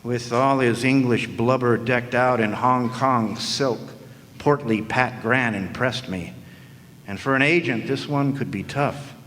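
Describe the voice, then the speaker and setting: A man's voice: mid-range voice, unhurried, fairly bright tone, natural voice. A man playing a wisecracking, world-weary private eye in old-time radio noir style, narrating with sardonic slang. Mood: jaded, sardonic. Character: wisecracking, world-weary hard-boiled male private detective. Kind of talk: 1940s radio noir acting, first-person narration. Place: live stage performance in a hall. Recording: echoey hall, background noise.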